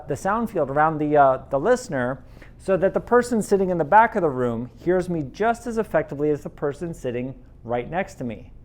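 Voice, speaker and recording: A man giving a conversational training presentation, explaining and demonstrating classroom audio gear while wearing a pendant microphone in a classroom. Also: fairly high pitch. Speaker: instructional technology trainer. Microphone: teardrop pendant microphone.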